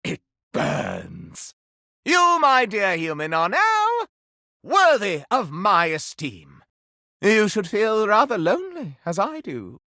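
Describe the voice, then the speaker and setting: Snooty melodramatic candelabra game character, super theatrical gossip, takes his time, crisp bright sound, really dry close recording. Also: high voice, wildly swooping pitch, takes it slowly, dry recording, heavily acted. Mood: gossipy, dramatic. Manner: pompous, florid, melodramatic declaiming. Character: flamboyant, haughty, scandal-loving living candelabra, theatrical gossip.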